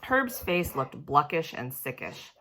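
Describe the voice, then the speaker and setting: A woman storyteller reading a children's book aloud in an expressive, animated way with a childlike first-person delivery. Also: low-pitched, unhurried, slight background noise.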